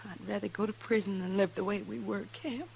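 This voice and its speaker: An actress playing a resolute, long-suffering frontier wife in a 1950s radio western, earnest and pointed. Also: female voice, mid-range voice, fairly even intonation, fairly quick, gravelly, darkish tone, close to an everyday voice. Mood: resolute, reproachful. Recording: noisy, humming old radio recording.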